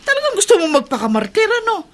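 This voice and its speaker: Sharp-tongued, high-pitched angry wife. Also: female voice, huge pitch swings, moderate pace, full character voice. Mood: scornful, angry. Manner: scornful, confrontational. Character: sharp-tongued, resentful, hot-tempered wife. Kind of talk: radio drama acting.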